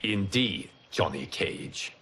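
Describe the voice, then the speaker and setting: An actor playing a calm, solemn fire god and mentor in a video game, speaking in a measured, formal way. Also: male voice, lowish voice, a little hiss or hum, exaggerated voice. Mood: solemn, assured.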